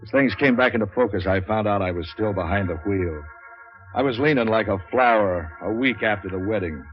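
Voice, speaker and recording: An actor playing a cynical, wisecracking private investigator in deadpan, sardonic 1940s radio noir style. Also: male voice, lowish voice, fairly quick, lightly acted. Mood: wry narration. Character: cynical, wisecracking hard-boiled male private investigator narrating his case. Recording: muffled old 1940s radio recording, music.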